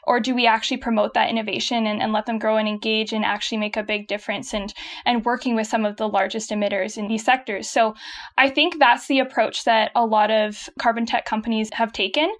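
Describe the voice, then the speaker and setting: A young woman with a Canadian accent answers interview questions in an upbeat, chatty way, laughing easily and filling gaps with a "yeah". Her voice sits fairly high for a woman. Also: fairly even intonation, brisk. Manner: upbeat, laughs often, many yeahs and restarts. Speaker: guest from a carbon tech company. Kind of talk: conversational interview.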